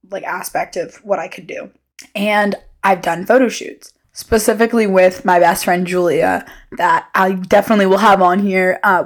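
A young woman, about twenty, hosts a casual solo podcast. Her voice sits at an average pitch for a woman. She speaks candidly and without a script, rambling and breaking off to rephrase her own sentences. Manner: rambling, self-interrupting, candid. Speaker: podcast host.

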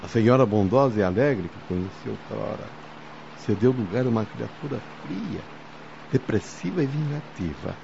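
An actor playing a loyal, timid, sorrowful chauffeur in a radio soap opera, deferential and dramatic. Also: male voice, fairly low pitch, lively intonation, moderate pace, gravelly. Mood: pitying, wistful. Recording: dull noisy audio, car ambience.

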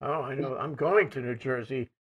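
A man interviewing soldiers, asking questions in a halting way with frequent restarts and throat-clearing. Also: mid-range voice, highs cut off.

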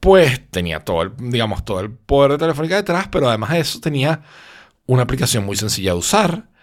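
Sleepy Venezuelan man, lively pitch. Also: quick pace. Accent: Venezuelan Spanish. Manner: rambling storytelling with frequent tag questions. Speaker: podcast host. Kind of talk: casual podcast chat.

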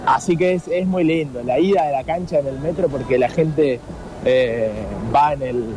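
A man with an Argentine accent reporting live in an informal, halting way, repeating himself as he goes. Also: on the high side, darkish tone, low-quality recording. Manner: informal, colloquial, halting with repetitions. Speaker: on-location sports reporter. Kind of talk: live unscripted match-day report. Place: outdoors in a city by a metro. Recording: clear outdoor urban traffic noise.

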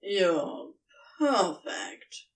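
A woman voicing an eager, affectionate serpent creature with a rough-edged colloquial drawl in a storybook reading. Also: lowish voice, huge pitch swings, very slow, far from the mic, voice pushed down, exaggerated voice, rough recording. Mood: insistent, fond.

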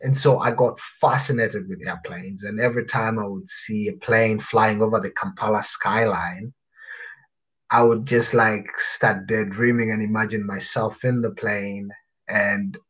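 A man in his thirties from Uganda, telling his life story as a guest in an interview, reflective and pausing with fillers. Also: fairly low pitch, fairly even intonation, a bit bright, husky, low-quality recording. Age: 30s. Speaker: guest author.

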